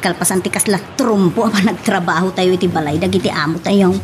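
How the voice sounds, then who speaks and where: A woman's voice: fairly low pitch, steady tone, fast, noisy background, close to an everyday voice. A woman acting emotional, outspoken characters in an Ilocano audio drama. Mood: consoling, advising.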